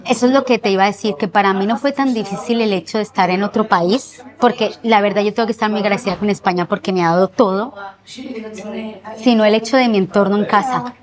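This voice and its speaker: A young woman from Colombia with a Colombian accent softened by years in Spain, recalling her life earnestly in an interview and slowing down to stress words. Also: medium pitch, crowd noise. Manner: reflective, earnest, often slows down to stress words. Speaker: guest. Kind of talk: personal interview.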